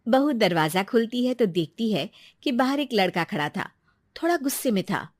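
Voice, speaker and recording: A woman's voice: a little high, animated, moderate pace, no room sound. A woman reading a scripted story as its narrator.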